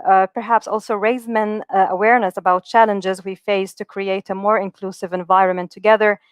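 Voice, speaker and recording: Earnest woman speaker, smooth dry voice. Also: medium pitch, no echo. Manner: many fillers and restarts, earnest. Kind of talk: motivational webinar talk.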